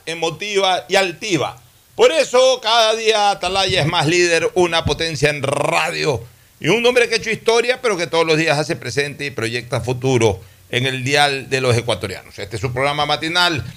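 A man's voice: sits high, fairly bright tone. A man announcing on the radio in an emphatic, grandiose, declamatory manner. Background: music behind the voice.

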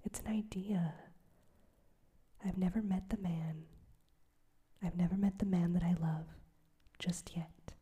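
A woman voicing a proud, gentle young woman who refuses a suitor, in a soft whisper. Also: fairly low pitch, fairly even intonation, slow pace, pauses often, breathy edge, heavily acted. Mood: firm, wistful. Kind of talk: whispered audiobook reading.

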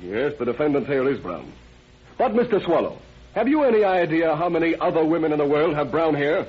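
A man's voice: fairly high pitch, expressive, brisk, natural voice. A man playing a pressing, formal defense attorney in an old-time radio drama. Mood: insistent, challenging. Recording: muffled vintage radio recording.

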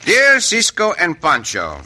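A confident Mexican gunslinger hero in an old radio western. His voice is very bright and slightly high, with lively rises and falls. He speaks with a Spanish accent and a courtly, unhurried self-assurance. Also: slight background noise, heavily acted. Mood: patient. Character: Cisco Kid, cool confident Mexican cowboy hero.

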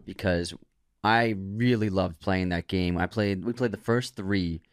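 A man's voice: sits low, unhurried, clean tone, no echo, clean studio sound. A man co-hosting a podcast about movies in a casual chat, explaining game stories with enthusiasm and agreeing often. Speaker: podcast co-host.

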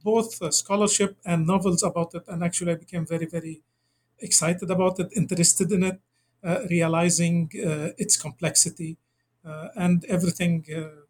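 A man from Lebanon, a guest scholar answering interview questions in a halting way, with many pauses and fillers. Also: high-pitched, fairly even intonation, darkish tone.

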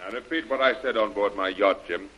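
A man's voice: lowish voice, a little fast, pushed and bright, highs cut off. A man playing the hero's worried, cautious friend in an old-time radio serial, earnest in tone, on a hissy vintage recording. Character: worried, cautious male yacht-owning friend of hero.

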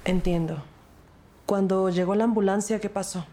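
A woman acting a composed, sympathetic police detective and narrator in an audio crime drama, measured and formal. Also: medium pitch, fairly even intonation, dark tone, lightly acted. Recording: music bed behind the voice.

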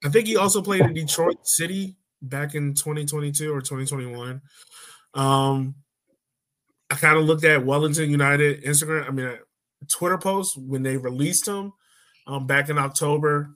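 A man hosting a casual sports podcast, talking informally in a measured, slightly tentative way. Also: on the high side, fairly bright tone. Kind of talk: casual football discussion.

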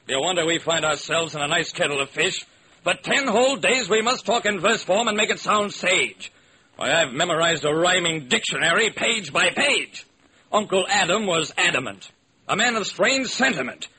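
An actor playing an exasperated heir who must speak only in emphatic, theatrical rhyme, in an old radio drama. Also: male voice, a little high, sharp tone. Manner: theatrical, emphatic rhyming delivery. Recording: noisy vintage radio recording.

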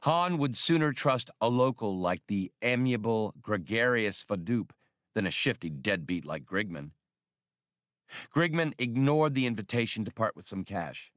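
A man narrating an audiobook in a measured, steady storytelling manner. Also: fairly low pitch, dry recording, clean studio sound.

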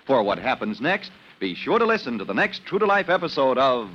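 A man's voice: medium pitch, very animated, a little fast. A man announcing a vintage radio serial in a scripted narrator style. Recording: dull old radio recording, faint noise.

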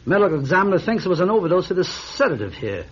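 A man acting a shrewd, laconic police officer in a radio drama, husky and dryly wry. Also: high-pitched, very animated, rapid-fire, exaggerated voice. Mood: matter-of-fact. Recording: dull, lo-fi radio recording.

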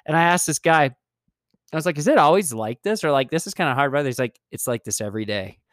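A man's voice: fairly high pitch, lively intonation, fast, no echo, studio quality. A man hosting his own podcast, talking solo in a chatty, rambling way and often correcting himself mid-sentence. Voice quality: smooth. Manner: chatty, digressive, self-correcting. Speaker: podcast host. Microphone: studio mic.

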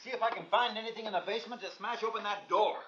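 A man's voice: sits high, fairly even intonation, racing, fairly bright tone, husky. An actor playing a young American father in a mid-century radio drama, firm and coaxing but tense and impatient. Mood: tense, practical. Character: tense young father trying to stay calm. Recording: dull, noisy old radio recording with clicks.

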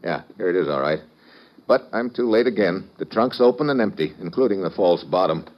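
An actor playing a wisecracking, suspicious American government agent in a vintage radio detective drama, slangy and sardonic. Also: male voice, deep voice, expressive, fairly quick. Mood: suspicious, pressing. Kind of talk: 1950s radio detective drama, first-person narration and dialogue. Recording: dull vintage radio recording, music behind.

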